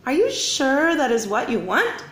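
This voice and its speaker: A woman's voice: high-pitched, very animated, a little slow, fairly bright tone, roomy sound, slight background noise, full character voice. A woman voicing a puzzled but accommodating mother in a storybook, questioning and matter-of-fact. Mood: exasperated. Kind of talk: storybook read-aloud character voice.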